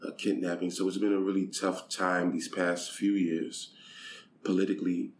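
A man's voice: low voice, little pitch movement, normal speed. A man from Haiti who grew up in the United States, a charity director, speaking thoughtfully in an interview and often repeating words as he finds them. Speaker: guest, charity country director. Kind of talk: conversational interview. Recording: echoey room with faint noise.

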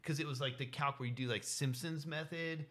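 A man's voice: a little high, steady tone, fairly quick, a bit bright. A young man co-hosting a podcast, telling a rambling story in casual chat and laughing often. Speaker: podcast co-host.

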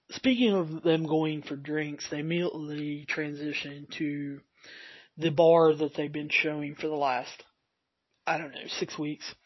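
A man talks slowly and unhurriedly, his voice a touch husky and raspy. He rambles through a solo podcast recap with plenty of fillers. The sound is dry and a little dull, like a modest home setup. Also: fairly high pitch, dry recording. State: slightly raspy voice. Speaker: podcast host.

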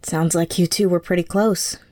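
An actress playing an empathetic, easygoing young woman, gentle and casual, in a naturalistic audio drama. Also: fairly low pitch, steady tone, moderate pace, natural voice. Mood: sympathetic.

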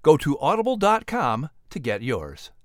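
A man hosting and narrating a story podcast in an expressive, theatrical style. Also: fairly high pitch, moderate pace, no echo, studio quality. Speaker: podcast host and audiobook narrator. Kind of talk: dramatic narration and lighthearted scripted hosting.

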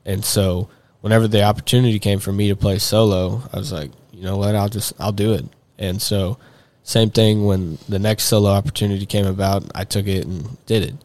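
A young man with a drawl talks loosely and at length, leaning on fillers and restarting his sentences. His voice sits a little low, and it is recorded close and dry with no room sound. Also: steady tone, fairly quick, hardly any pauses, darkish tone, dry recording. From Texas. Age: young adult. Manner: rambling, many fillers and restarts. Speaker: guest. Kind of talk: casual chat.

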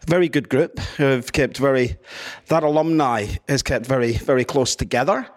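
A man with a blended Scottish-Australian accent chats as a guest comedian. He has a mid-range voice and a wry, self-deprecating way of answering in a relaxed interview. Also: mid-range voice, moderate pace. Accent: Scottish-Australian hybrid. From Scotland. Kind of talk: casual interview chat.

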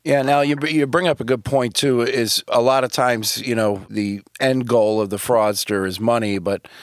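A man's voice: mid-range voice, steady tone, normal speed, dry recording, clean studio sound. A man, co-hosting a podcast in a conversational style. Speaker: podcast co-host.

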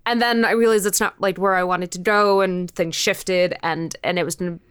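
Young female interviewee, clear voice, casual and animated, halting informal phrasing, close dry recording. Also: lowish voice, no room sound. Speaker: podcast creator, interview guest.

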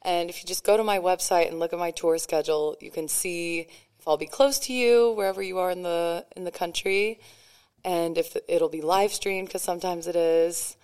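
A woman musician guest chatting eagerly on a podcast, her voice lower than usual today. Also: steady tone, normal speed, darkish tone, clear, resonant tone. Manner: enthusiastic, bubbly, breaks into song. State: voice an octave lower than normal. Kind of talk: casual podcast chat.

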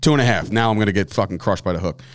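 A man's voice: fairly low pitch, racing. A man hosting a casual sports betting podcast, rambling and laughing often, with some swearing. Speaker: sports betting show host.

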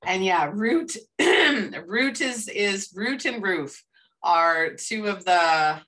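A woman's voice: medium pitch, lively intonation, extremely slow, a bit bright, recorded from a distance. A Canadian woman co-hosting a casual podcast chat, talking with enthusiasm and plenty of restarts. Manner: enthusiastic, rambling, frequent restarts. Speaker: podcast co-host.

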